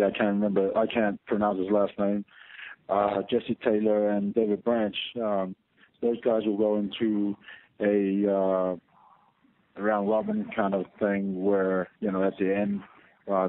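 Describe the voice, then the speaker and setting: A man's voice: fairly low pitch, slight background noise. A male fight promoter answering press questions over a phone line, hesitant and stumbling. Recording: tinny, narrow phone line.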